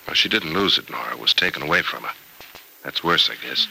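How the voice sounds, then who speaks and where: A man's voice: rumbling low, expressive, fast talker, vocal fry, sharp tone, exaggerated voice. An actor in an old-time radio soap opera playing a sober, caring American man, thoughtful and hesitant yet resolute about helping his friend. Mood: somber. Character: grave, caring male friend, resolute and self-sacrificing. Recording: noisy vintage radio drama recording.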